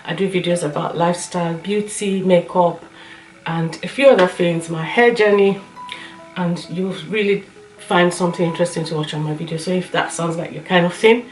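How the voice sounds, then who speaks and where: A woman with a slightly hoarse voice, chatting casually as a vlogger giving her opinions and telling anecdotes. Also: lowish voice, steady tone, moderate pace. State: slightly hoarse, recovering from a cough. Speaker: YouTube lifestyle and beauty vlogger. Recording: roomy echo, light music underneath.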